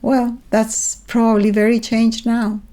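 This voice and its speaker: A woman's voice: on the high side, little pitch movement, a little slow. Older woman with a Chilean accent. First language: Spanish. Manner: thoughtful, earnest, gently humorous. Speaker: guest author. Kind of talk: reflective conversation.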